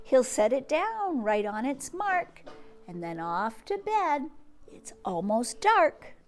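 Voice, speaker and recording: A woman reading a children's picture book aloud, expressively, with drawn-out words and hushed asides, speaking directly to young listeners. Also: high voice, big pitch swings. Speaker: teacher or read-aloud host reading to children.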